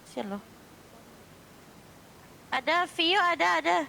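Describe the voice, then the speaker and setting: A woman's voice: very high voice, expressive, slow pace, creaky, a crowd in the background. A woman with an Indonesian accent chatting casually while playing an online roleplay game, playful and slangy in her teasing. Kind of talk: casual gaming chat.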